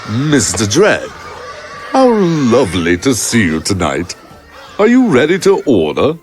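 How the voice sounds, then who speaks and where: A man playing a mock-polite, sinister infernal waiter in a horror audio drama, husky and savouring each phrase. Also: mid-range voice, wildly swooping pitch, measured pace, faint music behind. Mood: gloating politeness. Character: smugly courteous infernal waiter, mocking and sinister.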